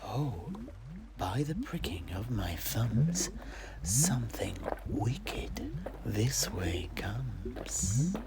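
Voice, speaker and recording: A performer voicing an eerie witch who breathes out slow, drawn-out prophecies in a whisper. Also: mid-range voice, sing-song, heavy background noise, heavily acted. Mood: eerie foreboding. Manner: drawn-out, incantatory.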